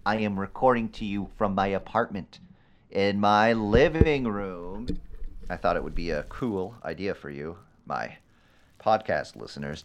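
Low-voiced, awkward amateur ghost hunter. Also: male voice. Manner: halting, casual, explains gear earnestly. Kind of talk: naturalistic audio drama acting, rambling informal narration.

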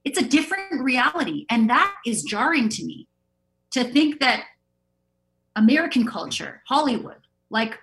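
A woman's voice: a little high, big pitch swings, moderate pace, a bit bright, low-quality recording. A woman speaking emphatically and casually as a panelist in an impassioned discussion. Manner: emphatic, outspoken, casual swearing.

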